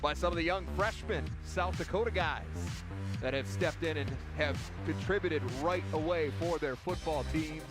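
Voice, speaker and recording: A man's voice: on the high side, moderate pace. Guy anchoring a college football broadcast, upbeat, music under him. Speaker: play-by-play broadcaster. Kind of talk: sports broadcast commentary.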